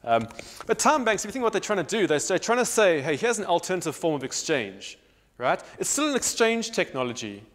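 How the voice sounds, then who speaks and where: A man's voice: high-pitched, big pitch swings, fairly quick, a bit bright. South African man lecturing in a hall. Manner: thinking aloud, many restarts and fillers, gestures with both hands. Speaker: guest speaker. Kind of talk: public lecture. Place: large hall with marble wall. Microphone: lapel. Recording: long hall reverb.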